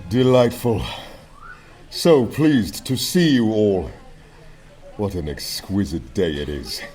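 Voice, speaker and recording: A towering, genial and menacing anarchist chief from a radio play. He savors every word slowly and mockingly, his swooping delivery laid over faint music. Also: lowish voice, animated, darkish tone. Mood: mocking joviality. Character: huge genial menacing male anarchist leader, grandly mocking. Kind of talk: radio drama acting.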